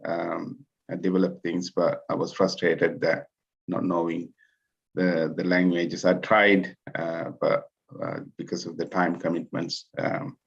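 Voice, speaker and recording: Very deep husky guy from sri lanka, flat gravelly voice, hesitant, muffled call audio. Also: a little slow. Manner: hesitant, many filler sounds. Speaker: student. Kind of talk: self-introduction on a video call.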